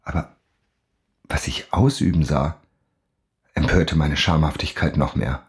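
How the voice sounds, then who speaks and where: An actor playing a proud, embittered convict who recounts his downfall in measured, formal phrases in a literary radio play. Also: extremely low pitch. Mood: brooding, confessional. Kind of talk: dramatic first-person monologue in a literary radio play. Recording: studio radio play with music underneath.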